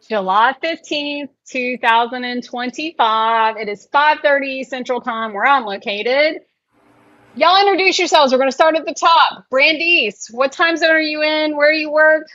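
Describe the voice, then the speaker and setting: A woman's voice: high-pitched, unhurried, pushed and bright, dry recording, highs cut off. A woman with a Southern US accent hosting a casual chat over a video call, chatty and full of y'alls. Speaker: podcast host.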